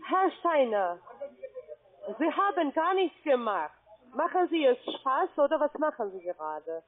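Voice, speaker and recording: A woman's voice: high voice, sing-song, unhurried. A woman phone scammer speaking broken German, blunt and rude. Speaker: scam caller. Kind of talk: phone call. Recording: tinny phone line.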